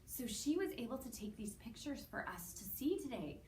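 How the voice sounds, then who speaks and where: A woman with a Canadian accent presenting to young children as a story time host, in an animated way with simple, drawn-out wording. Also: on the high side. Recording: roomy, distant mic with background noise.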